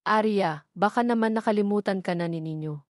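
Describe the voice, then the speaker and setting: A woman's voice: mid-range voice, a little fast, no room sound, clean studio sound, lightly acted. A woman voicing a concerned, coaxing friend in a romance audio drama. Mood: worried, coaxing.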